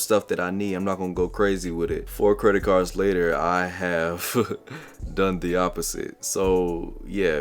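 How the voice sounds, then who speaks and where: Young man in his twenties, deep low voice, laid-back slang, casual vlog advice, restarts and repeats words. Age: 20s. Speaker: vlogger. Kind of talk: casual advice talk to camera.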